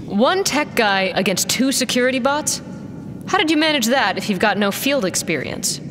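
A woman's voice: a little high, expressive, moderate pace, long reverb tail, background noise, natural voice. A woman playing a blunt, skeptical squad member in an audio drama, with a questioning manner.

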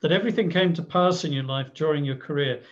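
Higher-voiced male host on a muffled line. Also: quick pace. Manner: encouraging, brief affirmations. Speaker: podcast host. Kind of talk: interview questions over video call. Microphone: video call.

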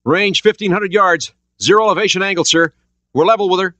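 A man doing a high, urgent young sonar operator. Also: brisk, sharp tone, no echo. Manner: urgent, reporting in bursts. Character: tense young male sonar operator, excited and quick.

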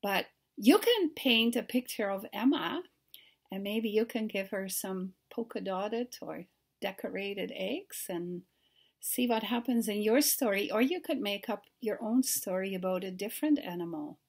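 A woman in her sixties telling a story to children as its author, pausing to put questions to them. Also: medium pitch, lively intonation, slightly rough. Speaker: children's author reading aloud. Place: book-lined home study.

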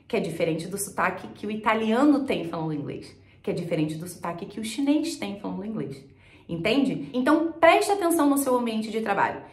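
A woman's voice: a little high, echoey room. A young woman from Rio de Janeiro with a Carioca accent, teaching an online lesson straight to camera and pressing her points with rhetorical questions. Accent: Carioca Brazilian Portuguese. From Rio de Janeiro, Brazil. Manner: emphatic, talks straight to the viewer with rhetorical questions. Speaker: English teacher. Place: small indoor room with plants and shelves.

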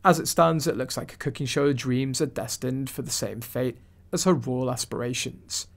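A man at a middle pitch narrates a scripted gossip voice-over. His delivery is snide and mocking throughout, leaning into sarcastic asides. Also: normal speed, vocal fry.

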